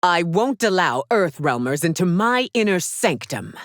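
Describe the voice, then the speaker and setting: A woman's voice: fairly low pitch, very animated, no room sound, studio quality. A woman playing a proud, stern warrior empress in a fighting video game, speaking in a formal, commanding, scornful manner. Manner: imperious, formal, measured, commanding. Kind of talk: theatrical video game character lines.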